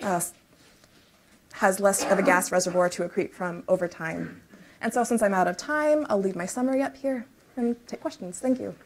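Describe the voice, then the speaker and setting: A woman's voice: mid-range voice, a little hiss or hum. A woman presenting research at a conference talk, explaining step by step with some fillers, then answering audience questions. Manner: explains in measured technical steps with fillers. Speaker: research speaker. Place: lecture room.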